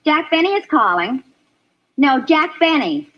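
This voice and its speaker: A woman's voice: sits high, big pitch swings, measured pace. A woman playing a persistent caller placing a phone call in an old radio comedy, on a vintage recording. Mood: insistent. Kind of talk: 1940s radio comedy acting.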